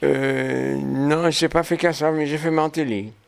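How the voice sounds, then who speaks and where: Gravelly older man, hesitant. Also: on the high side, steady tone, a little slow. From Martinique. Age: 70s. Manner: hesitant, many fillers and restarts. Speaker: photographer guest. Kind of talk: interview answers.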